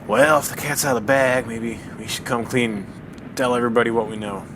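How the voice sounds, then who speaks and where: An actor playing a cautious, plain-spoken amateur sleuth on a train in an audio drama, with a folksy, measured manner. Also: male voice, fairly even intonation, natural voice. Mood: uneasy. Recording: clear vehicle-like background rumble.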